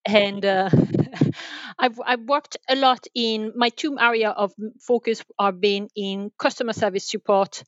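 A woman's voice: medium pitch, steady tone, unhurried, no room sound, muffled recording. A woman from France with a French accent, giving conversational answers as a podcast interview guest. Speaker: podcast guest, telecom sales manager.

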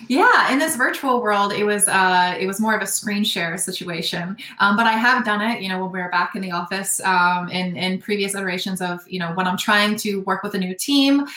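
A young woman with a bright, forceful voice, speaking in a roomy, echoing space. She explains things at length in a relaxed interview, stopping often with small fillers as she thinks. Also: mid-range voice, normal speed, few pauses. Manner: thoughtful, explanatory, many fillers. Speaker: podcast guest, sales operations analyst. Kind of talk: casual interview talk.

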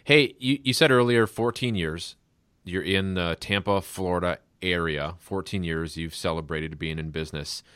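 A man's voice: low-pitched, normal speed, fairly bright tone, clean tone, no echo. A male podcast host who interviews his guest casually and offers upbeat, enthusiastic praise.

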